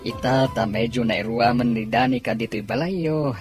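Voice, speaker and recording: Woman playing a very deep-voiced caring father. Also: normal speed, a little mellow, soft background music. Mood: grateful, earnest. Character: caring, encouraging father of a blind daughter. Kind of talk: audio drama dialogue in Ilocano.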